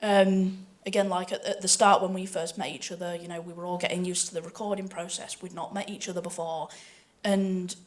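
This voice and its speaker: A woman's voice: on the low side, level tone, fairly quick, few pauses. A woman speaking informally and unscripted, as a voice actor in a panel discussion.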